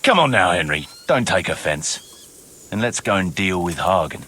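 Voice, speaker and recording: An actor playing a cynical, roguish mercenary captain in a video game, sardonic and swaggering, with a rough voice. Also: male voice, low voice, sing-song, normal speed, bright, forceful tone, exaggerated voice. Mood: wry, conceding. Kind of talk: dramatic video game dialogue.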